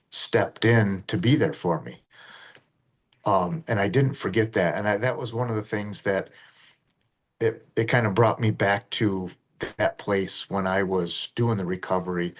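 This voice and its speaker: A middle-aged man, a retired officer and deputy sheriff, telling his story in a plainspoken podcast interview with a low, fairly monotone voice. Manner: earnest, plainspoken storytelling with fillers.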